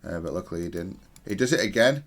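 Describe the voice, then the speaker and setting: A man with a fairly low voice talks through his own gameplay. His delivery is analytical and matter-of-fact, with a self-critical streak. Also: expressive, fast. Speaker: gamer reviewing own match.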